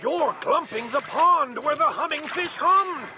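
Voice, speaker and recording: Man as small scolding forest creature, storybook, indignant, emphatic, high voice, noisy recording. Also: animated, unhurried. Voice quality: coughing, croaky.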